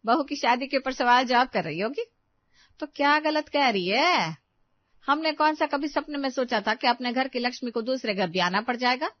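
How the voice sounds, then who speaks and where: A woman's voice: sits high, lively intonation, moderate pace, no echo, pitched above the performer's own voice. Lady doing a naggy middle-aged village wife, raised complaining voice, audio story, dull sound. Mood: indignant, nagging. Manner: nagging, complaining.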